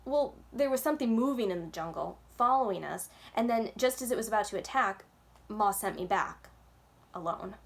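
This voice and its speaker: A woman's voice: medium pitch, expressive, normal speed, pitched above the performer's own voice, close to an everyday voice. Woman reading as an earnest, weary young boy.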